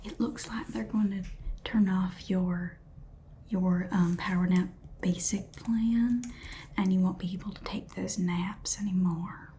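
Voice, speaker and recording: Sassy chatty Southern saleswoman, voiced by a woman: slow sugary whisper, warm, faint typing behind. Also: fairly low pitch, fairly even intonation, slight background noise, close to an everyday voice. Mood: exasperated. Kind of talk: whispered ASMR roleplay.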